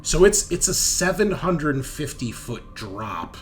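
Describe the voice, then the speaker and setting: A man running a tabletop game, narrating casually and informally, often halting as he thinks aloud. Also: a little high, very animated, a little hiss or hum. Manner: halting, thinking aloud as map details are checked. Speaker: game master.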